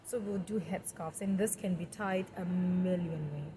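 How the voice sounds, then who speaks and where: Young woman, laughing, relaxed. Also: on the low side, unhurried, background noise. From Zimbabwe. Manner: warm, laughs easily, relaxed storytelling. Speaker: guest. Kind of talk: conversational interview.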